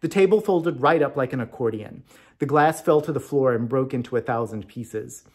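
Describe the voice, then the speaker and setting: A man reading a storybook tale aloud as narrator, in an expressive scripted style. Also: medium pitch, normal speed, slightly rough. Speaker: story reader.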